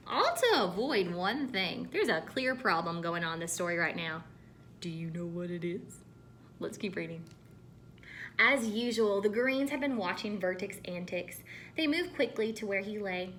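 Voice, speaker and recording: A woman reading a storybook aloud to children as a teacher, expressive and pausing to ask questions. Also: medium pitch, slight background noise.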